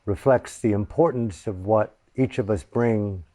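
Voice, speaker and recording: Slow, mellow male meditation guide. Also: on the low side, dry recording. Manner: soft, reverent, unhurried, with long pauses. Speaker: meditation teacher.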